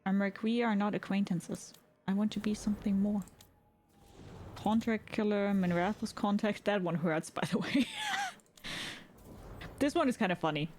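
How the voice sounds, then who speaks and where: A woman's voice: moderate pace, darkish tone, slight background noise. A woman streaming a game, chatting casually with her viewers, asking them questions and reading game text aloud. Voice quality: says her voice is fairly deep. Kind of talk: casual gaming chat with viewers. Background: game music.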